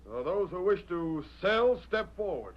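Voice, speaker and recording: An actor playing a slick, threatening crooked land agent in an old radio western, oratorical and domineering. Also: male voice, high-pitched, very animated, unhurried, exaggerated voice. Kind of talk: 1940s radio western acting. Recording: muffled old radio recording.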